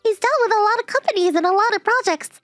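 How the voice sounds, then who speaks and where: A woman playing a bubbly, admiring young female office worker in an anime-style manga dub, with a cutesy, excitable manner. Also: very high voice, fast talker. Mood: gushing.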